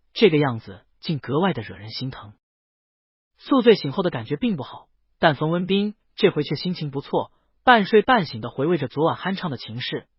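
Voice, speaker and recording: High-pitched, husky man narrating a story. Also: dry recording, muffled recording. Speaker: audiobook narrator.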